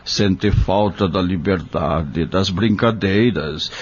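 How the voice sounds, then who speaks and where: Um homem com sotaque brasileiro interpretando um médico bondoso e paciente em radionovela, explicando e aconselhando com gentileza. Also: male voice, low voice, slightly rough, heavily acted. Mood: caring, reassuring. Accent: Brazilian. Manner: slow, explanatory, gentle counsel. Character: kindly male doctor, patient, caring spiritist. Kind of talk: audio drama acting. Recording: dull lo-fi audio.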